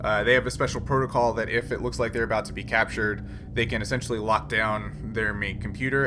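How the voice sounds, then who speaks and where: A man running a tabletop roleplaying game as game master, improvising his narration haltingly as he thinks aloud. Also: fairly low pitch. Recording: noisy room ambience.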